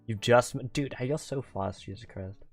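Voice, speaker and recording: A man's voice: medium pitch, moderate pace, dry recording. A young man streaming and chatting casually with viewers, rambling with lots of "like" and restarts, venting at times. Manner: venting, exasperated. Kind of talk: casual livestream chat with viewers. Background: faint game music.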